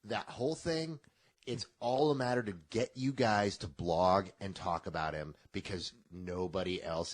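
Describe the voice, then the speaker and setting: A man with a husky, slightly rough voice and a lively, swinging delivery. He is co-hosting a casual podcast and goes off on long, profane, opinionated rants. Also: mid-range voice. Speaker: podcast co-host. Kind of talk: casual chat.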